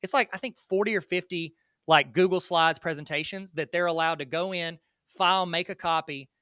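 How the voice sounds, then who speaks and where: A man with a Southern US accent chats as a guest in a loose, rambling style full of self-deprecating jokes. His voice sits a bit high and sounds bright and smooth. The sound is close and dry. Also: fairly quick, no echo. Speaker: guest educator. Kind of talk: casual interview chat.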